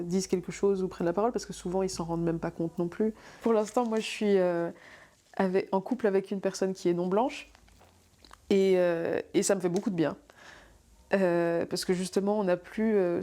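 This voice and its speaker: A young woman giving a personal testimony, halting and thoughtful, with many fillers. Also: fairly low pitch, warm tone. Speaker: interviewee.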